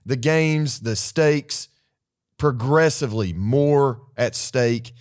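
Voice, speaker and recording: Upbeat, slightly hoarse man hosting a podcast. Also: medium pitch, slow pace, darkish tone, no room sound, studio quality. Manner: upbeat, steers the show, laughs easily. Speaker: podcast host. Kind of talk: casual sports chat.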